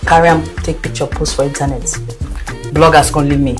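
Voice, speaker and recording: Earnest Nigerian woman, lowish voice. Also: fairly even intonation, brisk, music in the background. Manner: earnest, mixes pidgin into English. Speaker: mother telling her story. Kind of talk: emotional personal account.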